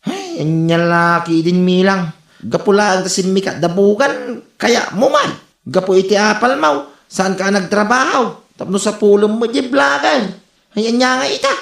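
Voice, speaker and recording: Creaky, scolding comedy busybody, acted by a woman. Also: medium pitch, measured pace. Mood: exasperated. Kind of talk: comedy radio drama acting. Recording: roomy, echoing sound.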